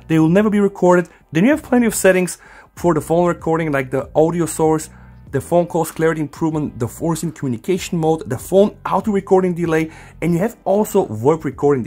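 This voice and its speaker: A man's voice: fairly high pitch, darkish tone. A man hosting a casual hands-on phone tutorial, talking directly and informally to his viewers. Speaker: tech tutorial host.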